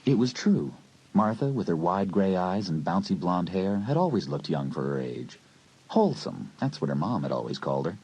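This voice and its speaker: A man narrating a horror audiobook in a measured, brooding storyteller's manner. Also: sits low, sing-song, darkish tone, slightly rough, heavily acted, poor audio. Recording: hissy old cassette recording.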